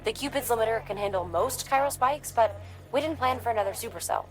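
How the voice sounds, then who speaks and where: An actress playing a calm, enigmatic scientist in a game, speaking in a measured, solemn way. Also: female voice, a little high, close to an everyday voice, poor audio. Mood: grave, concerned. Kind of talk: video game dialogue.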